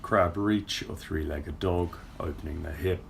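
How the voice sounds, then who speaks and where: Casting: young male movement coach, deep gravelly voice, steady breath cues, faint hiss behind. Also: measured pace. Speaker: yoga and movement instructor. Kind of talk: guided workout instruction. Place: indoor car park with brick wall. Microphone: far camera.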